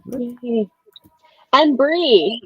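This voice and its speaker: A woman's voice: fairly high pitch, expressive, extremely slow, a bit bright, slightly rough, no room sound. Female host, casual and effusive, close dry sound, slightly lo-fi. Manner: enthusiastic, effusive. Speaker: host of a book readalong live show. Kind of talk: casual chat. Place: indoors, online group live stream.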